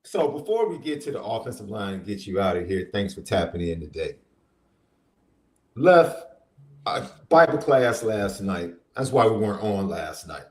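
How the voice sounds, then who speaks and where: A man with a stuffy summer cold hosting a casual sports podcast, animated and laughing often. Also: on the low side, moderate pace. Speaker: podcast host and minister. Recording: roomy echo.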